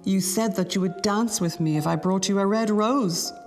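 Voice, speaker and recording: A woman's voice: medium pitch, normal speed, music in the background, pitched above the performer's own voice, close to an everyday voice. A woman reading aloud voices a self-absorbed young male student who speaks with eager, lecturing earnestness. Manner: eager, then petulant and lecturing.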